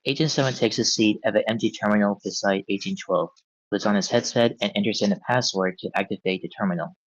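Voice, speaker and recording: A man narrates stage directions at a table read. His voice sits fairly low and measured, on a dry, slightly muffled recording. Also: dry recording.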